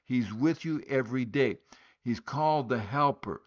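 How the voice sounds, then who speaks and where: A man from West Africa preaches in earnest, telling a story and pausing on rhetorical questions. His voice sits at an ordinary middle pitch for a man. The recording is muffled and lo-fi, as if the top end has been shaved off. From West Africa, born in Liberia, raised in Guinea. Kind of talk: sermon.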